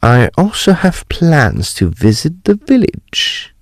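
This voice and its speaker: A man playing a decisive, inquisitive gentleman detective of the occult in a period Gothic audio drama, with grand theatrical delivery. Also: fairly high pitch, wildly swooping pitch, no echo, studio quality, full character voice. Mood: brisk, intrigued. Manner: grand, deliberate, theatrical exclamations.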